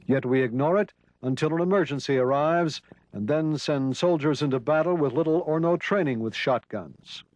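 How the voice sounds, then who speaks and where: A man's voice: fairly high pitch, normal speed, a little mellow, dry recording. A man reading scripted narration for a training film. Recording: noisy 1990s training film soundtrack.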